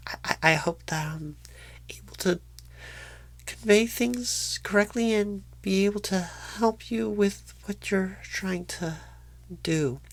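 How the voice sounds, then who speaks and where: ASMR roleplay role for a female performer: bashful, nervous new recruiter, slow and hesitant, slightly low pitch, dry close sound. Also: vocal fry, exaggerated voice. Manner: halting, stammering, apologetic, hesitant. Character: painfully shy, nervous, bashful rookie job recruiter.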